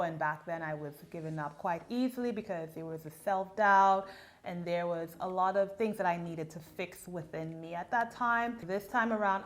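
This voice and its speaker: A woman in her thirties from Trinidad and Tobago, chatting casually and playfully as she answers get-to-know-me questions. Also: lowish voice. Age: 30s. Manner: expressive, playful, reads questions aloud then answers. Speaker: beauty vlogger. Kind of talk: casual Q&A vlog.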